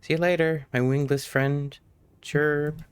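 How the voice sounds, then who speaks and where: A man's voice: fairly high pitch, little pitch movement, a little slow, clear, resonant tone, dry recording, lightly acted. A man voicing a small chirping bird character while reading video game dialogue aloud. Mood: friendly, instructing.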